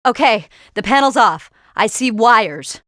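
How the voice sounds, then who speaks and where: An actress playing an excitable female spy's companion in a video game, emotive and exclaiming. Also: on the high side, a little slow, dry recording, clean studio sound. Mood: tense. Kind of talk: scripted video game voice acting.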